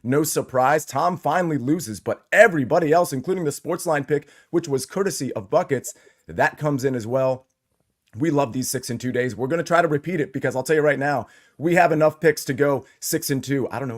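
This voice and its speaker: A man hosting a sports betting show, upbeat and chatty, with a slightly scratchy voice. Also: fairly high pitch. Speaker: host.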